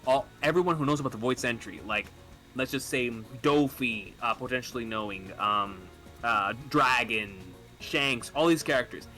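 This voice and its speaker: A man talking casually and enthusiastically as a fan on a podcast, halting and rambling as he lays out his thoughts. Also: medium pitch, pushed and bright, faint music behind. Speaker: podcast speaker discussing manga theories.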